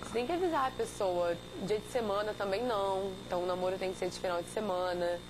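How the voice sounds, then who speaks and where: A woman's voice: mid-range voice, fast talker, background noise. A woman in her twenties with a Carioca accent, a swimmer answering interview questions earnestly. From Rio de Janeiro, Brazil. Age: 20s. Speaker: competitive swimmer, interview guest.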